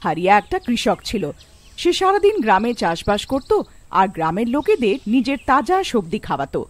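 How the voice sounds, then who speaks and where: A woman's voice: on the high side, big pitch swings, normal speed. A woman narrating a scripted fairy tale as storyteller.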